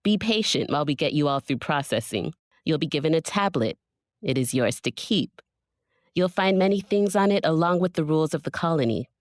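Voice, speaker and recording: Audio drama role: composed female coordinator with quiet authority, lowish voice, formal delivery, dry studio sound. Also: expressive, no room sound. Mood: officious welcome. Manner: commanding, measured. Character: authoritative female colony coordinator, composed, stern, faintly menacing.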